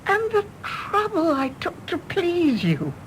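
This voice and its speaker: An actress playing a wistful, wry middle-aged Englishwoman in a radio play, speaking in a measured, genteel English accent. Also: very high voice, wildly swooping pitch, exaggerated voice, poor audio. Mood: wistful, regretful. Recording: faint background noise and ambience.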